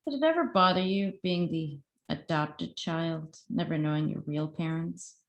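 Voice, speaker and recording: A woman's voice: deep voice, normal speed, gravelly, echoey room, lo-fi sound. A woman playing a confident, affectionate reporter with a playful, wry manner in a script table read. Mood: curious.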